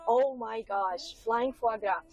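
A woman's voice: fairly high pitch, slow pace, heavy background noise. A woman interviewer asking casual questions in a chatty, giggly way with loosely phrased sentences.

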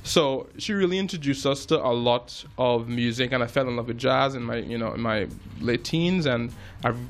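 Relaxed Trinidadian-born musician, storytelling. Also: male voice, medium pitch, normal speed, soft background music. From Trinidad and Tobago. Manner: relaxed storytelling with frequent fillers and restarts. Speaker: jazz musician and filmmaker, guest. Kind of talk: casual interview.

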